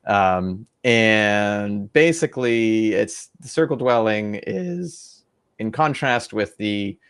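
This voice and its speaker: A man's voice: lowish voice, lively intonation, slow, a bit bright, clean tone. A young man co-hosting a podcast, explaining an idea in a thoughtful, rambling way with many fillers and restarts. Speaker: podcast co-host. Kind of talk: casual podcast discussion.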